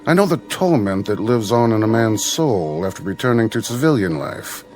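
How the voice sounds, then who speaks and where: An actor playing a refined gentleman veteran in a radio drama, courteous, eloquent and smoothly solicitous. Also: fairly low pitch, very animated, faint music behind. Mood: suave, solicitous. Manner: formal, measured, eloquent.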